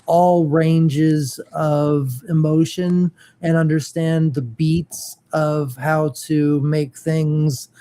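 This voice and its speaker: A co-host on a relaxed morning show speaks slowly, in a mellow, darkish tone. Sentences come out hesitantly, with repeated words and plenty of fillers before the point lands. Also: medium pitch. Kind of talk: casual geeky chat.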